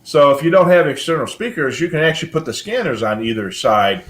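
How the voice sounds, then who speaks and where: A man's voice: fairly high pitch, animated, brisk, fairly bright tone. An older man hosting a casual live hobby show, explaining things in a rambling, matter-of-fact way.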